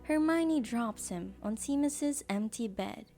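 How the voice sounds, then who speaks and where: A woman's voice: a little high, lively intonation, takes it slowly, dark tone, faint music behind. A woman reading scripted narration as the narrator of an audio drama.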